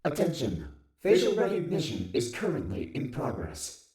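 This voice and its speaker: A man's voice: mid-range voice, roomy sound, distant mic, natural voice, rough recording. A man voicing a courteous, officious automated security system in a visual novel game, speaking in formal announcements.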